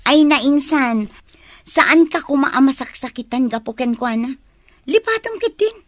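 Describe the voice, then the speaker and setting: A woman's voice: high-pitched, animated, measured pace, no echo. A woman playing a blunt, gossipy, scornful young cousin in an Ilocano radio drama.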